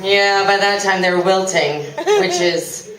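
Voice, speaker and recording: An actress playing the wry, sardonic wife of a vain columnist in a live stage radio play, deadpan in delivery. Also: female voice, medium pitch, slow, over a noisy crowd, lightly acted. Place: theatre stage before an audience. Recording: echoey theatre from far off, audience noise.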